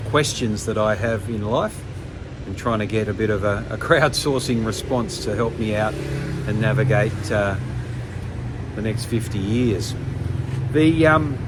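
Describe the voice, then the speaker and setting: An older man vlogging casually to camera, pausing often with ums and restarts. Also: fairly low pitch, background noise. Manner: hesitant, many ums and restarts. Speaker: travel vlogger.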